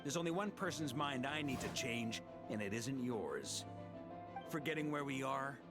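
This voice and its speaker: An actor playing a weary but defiant veteran knight in a video game, with a wry, dramatic delivery. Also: male voice, medium pitch, expressive, moderate pace, over music, lightly acted. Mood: wry, composed.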